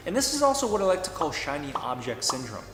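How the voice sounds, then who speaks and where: A man's voice: a little high, wildly swooping pitch, quick pace, fairly bright tone, faint music behind. A man giving an emphatic motivational talk about business, spelling out slogans. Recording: echoey room with long reverb.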